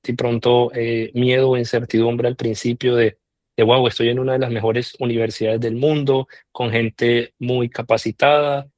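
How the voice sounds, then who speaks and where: A man answers interview questions, taking his time and filling pauses with soft hesitations. His voice is mid-pitched, and the recording is close and dry, with no room sound. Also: flat delivery, no echo. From Colombia. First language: Spanish. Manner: measured, with frequent "eh" pauses. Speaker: interviewed scholarship alumnus.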